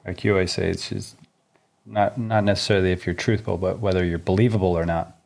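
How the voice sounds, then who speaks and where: A man with a deep, low voice chats casually as a tennis podcast co-host. He is laid-back and often laughs. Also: fairly even intonation, fast, creaky, darkish tone.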